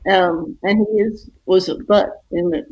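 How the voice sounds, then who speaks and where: A woman giving a casual interview as a guest author, explaining things haltingly and rephrasing as she goes. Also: medium pitch, steady tone, darkish tone, husky, muffled recording.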